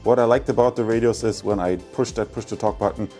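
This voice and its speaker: A man's voice: fairly low pitch, fairly even intonation, fast talker, a little mellow, faint music behind. A man giving a casual product review as a vlogger.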